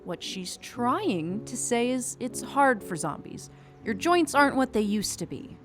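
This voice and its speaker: A woman's voice: fairly high pitch, big pitch swings, a little slow, fairly bright tone, faint music behind. A woman playing a fretful, kindly, inept witch in an audio drama, fussing and coaxing protectively. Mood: worried, coaxing. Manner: fussy, exclamatory, coaxing.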